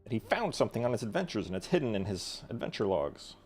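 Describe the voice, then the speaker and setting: A man's voice: on the low side, very animated, quick pace, a little mellow, soft background music. A man giving casual, joking commentary on a game playthrough, with a sarcastic, wry manner. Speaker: game streamer.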